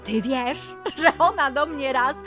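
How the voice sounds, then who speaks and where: A woman's voice: high-pitched, slow pace, over music. A woman playing a domineering, sardonic aunt who scolds theatrically in a radio comedy drama. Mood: scolding, sardonic.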